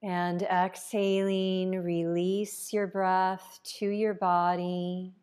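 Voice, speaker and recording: A woman leading a guided meditation as a yoga nidra teacher, calm and unhurried, leaving long pauses between phrases. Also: fairly low pitch, clear, resonant tone.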